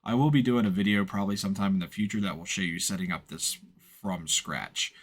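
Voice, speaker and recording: Low-pitched man giving a tutorial. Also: a little fast. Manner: informal, explanatory, matter-of-fact. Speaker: instructor, software tutorial presenter. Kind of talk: unscripted step-by-step screen tutorial.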